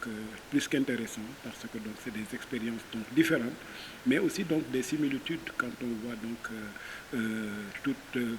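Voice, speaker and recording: A man giving an unscripted recap of an event, halting and hesitant, with many fillers. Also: medium pitch. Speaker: workshop participant. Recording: clearly audible background hiss.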